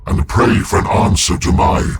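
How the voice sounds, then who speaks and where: A man's voice: heavy background noise, close to an everyday voice. A man voicing a solemn entombed warrior-mystic. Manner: solemn, measured, formal. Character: ancient male warrior-psyker entombed in a war machine. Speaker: librarian, narrator. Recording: with loud game music behind.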